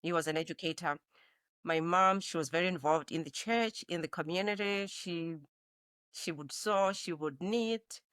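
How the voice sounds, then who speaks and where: A woman's voice: lowish voice, moderate pace, a bit bright, clear, resonant tone, no room sound, clean studio sound. A woman in her forties from Zimbabwe, a nurse practitioner speaking reflectively as an interview guest. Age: 40s. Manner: reflective, with frequent restarts. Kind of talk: conversational interview.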